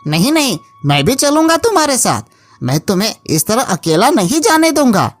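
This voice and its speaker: A woman's voice: fairly high pitch, big pitch swings, moderate pace, no room sound. Woman as an eager, swooping cartoon talking monkey. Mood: earnest, devoted. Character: friendly, loyal talking monkey, eager and chatty. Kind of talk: Hindi cartoon moral story acting.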